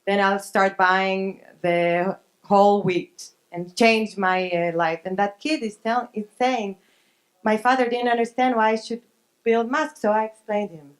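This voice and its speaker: A woman on a panel adding her view in a quiet, conversational way, using everyday examples. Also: mid-range voice, fairly even intonation, measured pace. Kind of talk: answering on a panel Q&A.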